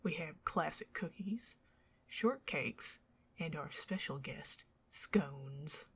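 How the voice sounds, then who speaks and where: A woman playing a bewitching older vampire lady in a whispered ASMR roleplay, doting and fussy, with arch, theatrical, flowery phrasing and a breathy, husky voice. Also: deep voice, lively intonation, slow, full character voice. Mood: theatrical, pleased.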